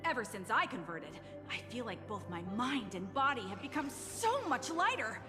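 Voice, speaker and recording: An eager young convert in a video game hero squad. The voice is high, animated and persuasive, carried on a long reverb with music underneath. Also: female voice, normal speed.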